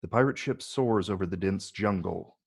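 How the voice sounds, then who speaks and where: A man reading stage directions as scripted narration in a measured, descriptive way. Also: sits low, creaky, slightly rough.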